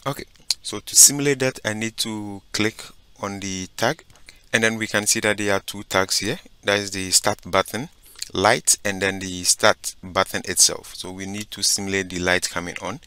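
A man giving a step-by-step software tutorial, narrating his screen and often saying "okay" and "so basically". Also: lowish voice, fairly even intonation, moderate pace, smooth, no echo. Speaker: instructor.

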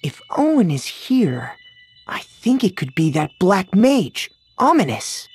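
Young fantasy game hero, earnest, hesitant, breathy, very animated pitch. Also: female voice, takes it slowly, exaggerated voice. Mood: puzzled, urgent. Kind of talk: video game voice acting.

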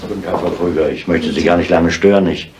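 Inspector, male actor: lowish, calm, polite, methodical; noisy 1970s radio play. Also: fast talker, close to an everyday voice. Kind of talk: 1970s German radio drama acting.

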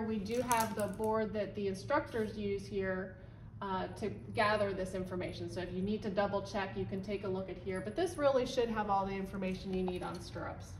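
A woman's voice: mid-range voice, a little hiss or hum. Woman explaining a barn board, roomy. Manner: explanatory, pointing at a whiteboard while talking, frequent ums. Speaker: volunteer trainer. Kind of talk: instructional walkthrough. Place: indoor barn office with a wood-paneled wall. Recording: roomy, slightly distant sound with faint ambience.

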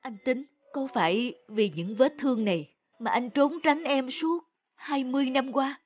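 A woman playing a gentle, devoted middle-aged florist in an animated drama, speaking softly and reflectively. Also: high-pitched, moderate pace, breathy edge, highs cut off. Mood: hurt. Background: background music.

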